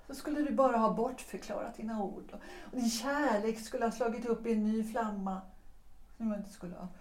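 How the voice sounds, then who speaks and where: An actress playing a former singer who calmly and deliberately explains why she left her lover. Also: medium pitch, close to an everyday voice. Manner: measured, deliberate, quietly reproachful. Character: woman, a former opera singer, calmly accusing. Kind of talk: audio drama acting. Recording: roomy echo.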